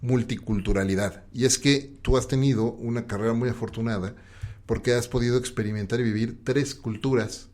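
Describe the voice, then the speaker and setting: A man's voice: medium pitch, steady tone, fairly quick, a little mellow. A man with a Mexican accent hosting a conversational podcast interview, posing long, reflective questions.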